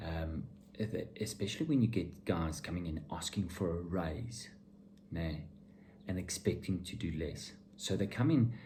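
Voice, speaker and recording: Middle-aged male vlogger, low and unhurried, blunt and opinionated, for an informal motivational monologue. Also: lively intonation, darkish tone, a little hiss or hum. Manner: blunt, opinionated, emphatic with repetition. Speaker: vlogger and entrepreneur giving motivational talk.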